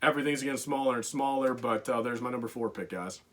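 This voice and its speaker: A man's voice: medium pitch, fast, fairly bright tone. A young man in a casual countdown vlog, rambling with many fillers, enthusiastic, and losing his voice. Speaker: LEGO collector YouTuber.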